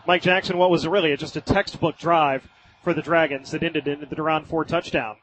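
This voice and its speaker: An American man doing live radio play-by-play of high school football in vivid, colloquial language. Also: fairly even intonation, brisk, a bit bright, a little hiss or hum, rough recording.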